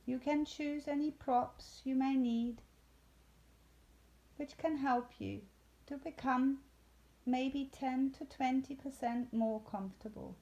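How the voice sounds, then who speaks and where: A woman leading a guided yoga nidra meditation in a soothing, unhurried way with long pauses. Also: high voice, fairly even intonation, mellow, lo-fi sound.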